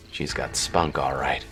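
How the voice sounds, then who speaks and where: An actor playing a young Delta Force sergeant in a radio drama, wry and casual in manner. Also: male voice, extremely low pitch, sharp tone, heavily acted. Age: young adult.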